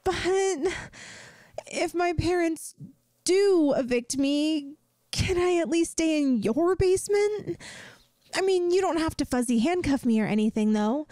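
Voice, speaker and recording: A woman's voice: very high voice, animated, measured pace, warm tone, no echo. A woman playing a bubbly, inept, lovestruck young yandere student in an audio roleplay, rambling and pleading dramatically with the listener. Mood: pleading, coaxing. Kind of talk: scripted ASMR audio roleplay, addressing the listener.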